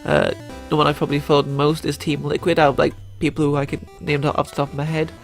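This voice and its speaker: A man's voice: a little high, completely flat delivery, fast, gravelly, faint music behind. A young man with a cold and a stuffy voice, chatting casually through his picks with lots of fillers and restarts. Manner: rambling, many fillers and restarts. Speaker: YouTuber. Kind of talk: casual gaming commentary.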